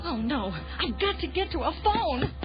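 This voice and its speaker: A plucky young female reporter in a cartoon radio play, high-pitched and swooping with excitement. The recording is dull, with music behind. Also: normal speed. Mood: alarmed. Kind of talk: cartoon audio drama acting. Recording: dull lo-fi 1980s audio drama recording. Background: music score.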